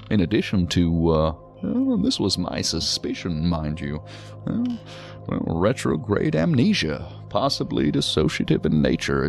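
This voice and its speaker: An actor playing an earnest, hesitant psychiatrist who explains things in wordy, precise clinical terms in an audio drama. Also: male voice, lowish voice, gravelly, heavily acted. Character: talkative, earnest, hesitant male psychiatrist answering investigators. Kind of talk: science fiction audio drama acting.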